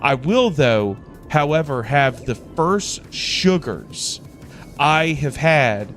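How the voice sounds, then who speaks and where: A man's voice: a little high, sing-song, slow, fairly bright tone. A man hosting a livestream, chatting casually in a rambling way and repeating phrases for emphasis. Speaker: livestream host. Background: music playing in the background.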